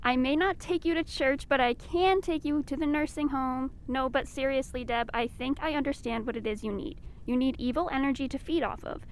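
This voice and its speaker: An actress plays a nursing home carer with a very high, perky voice. She is cheery yet firm and chiding, in comedy audio drama style, over a faint rumble. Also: fairly quick, few pauses. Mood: persuasive. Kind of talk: audio fiction comedy acting. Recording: low rumbling background noise.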